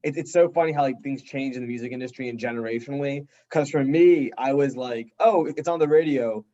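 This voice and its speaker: American man, mid-pitched voice, casual band-interview chat, acts out quotes, somewhat muffled lo-fi recording. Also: fairly quick, warm tone. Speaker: band member, interview guest. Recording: slightly dull lo-fi audio.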